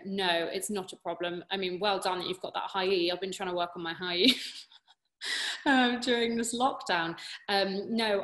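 A woman's voice: medium pitch, fairly bright tone. A young British woman, an opera singer, answering questions in a casual online Q&A from her living room, encouraging and full of fillers. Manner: chatty, encouraging, many fillers and restarts. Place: living room at home, indoors. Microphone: laptop webcam on a video call.

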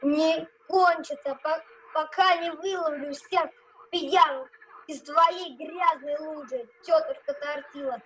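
A child acting a gruff, greedy, bullying puppet-theatre master in an amateur audio play. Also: high-pitched, fairly even intonation, slow pace, a bit bright. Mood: scornful, greedy. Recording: dull, noisy home recording.

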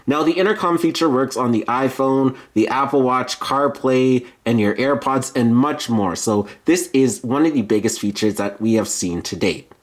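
A man giving an informal, explanatory product demo as a tech reviewer. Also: mid-range voice.

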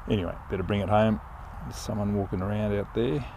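Older man, gravelly low voice, relaxed flying commentary, outdoors, faint background noise. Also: fast talker. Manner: relaxed, enthusiastic, thinking aloud while flying. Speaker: RC pilot reviewing a plane. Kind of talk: casual live flight commentary. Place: open grassy field outdoors.

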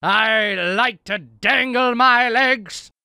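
A man's voice: very high voice, very slow, creaky, bright, forceful tone, smooth, dry recording, studio quality, heavily acted. A man voicing a fussy, indignant middle-aged civilian for improvised video game lines, prim, whiny and pleading. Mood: silly, playful.

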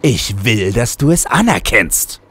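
A man's voice: mid-range voice, sing-song, a little slow, fairly bright tone, husky, heavily acted. A man voicing an exasperated, preachy hitman who talks emphatically in a satirical audio play. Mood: fervent. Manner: emphatic, indignant, sweary.

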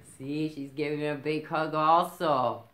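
A middle-aged woman storyteller reads a picture book to young children. She speaks slowly, fairly low and brightly, pausing to explain and ask questions. The room sounds echoey, as if recorded from a few steps away. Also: steady tone. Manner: simple explanations with checking questions. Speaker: library story time reader. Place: indoors in a curtained room. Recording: roomy, echoing small-room sound.

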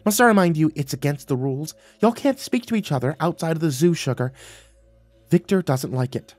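A man's voice: mid-range voice, expressive, fairly quick, vocal fry, dry recording, faint music behind. A man voicing an irritable, imperious female water nymph with folksy sass in an audiobook, scolding and giving orders. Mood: demanding. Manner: scolding, bossy, commanding. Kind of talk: audiobook character acting.

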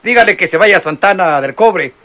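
A man's voice: sits high, lively intonation, brisk, a bit bright. An actor playing a curt, dismissive male police captain in an old radio drama. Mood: scornful. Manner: curt, scornful. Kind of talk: 1960s radio drama acting.

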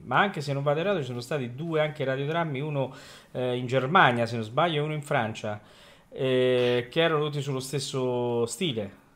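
A man's voice: medium pitch, moderate pace, a bit bright. A man hosting a casual radio talk, rambling hesitantly and often seeking agreement. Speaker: radio host.

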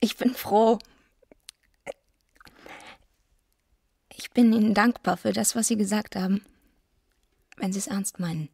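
Despairing young woman for a radio play, halting and brooding, gravelly creak, super dry sound. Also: mid-range voice, steady tone, a little mellow, no room sound. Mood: fragile, insistent. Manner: halting, brooding, self-loathing. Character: tormented, despairing young woman convinced she is cursed. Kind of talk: radio drama acting.

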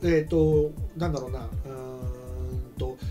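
A middle-aged man chatting casually in an explanatory, animated manner as a talk show host at a studio desk microphone. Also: medium pitch, a little slow. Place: small indoor studio set. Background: background music.

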